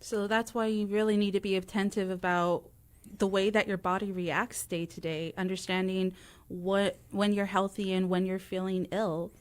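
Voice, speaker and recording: A woman's voice: mid-range voice, little pitch movement, moderate pace, no echo. A woman co-hosting a radio talk show, addressing listeners and making announcements. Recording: dry studio sound with music underneath.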